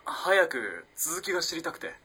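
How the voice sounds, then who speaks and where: A man's voice: on the high side, big pitch swings, moderate pace, bright, forceful tone, slightly rough, full character voice. A man playing a curious, polite young student in a radio drama. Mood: musing, eager. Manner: polite, inquisitive.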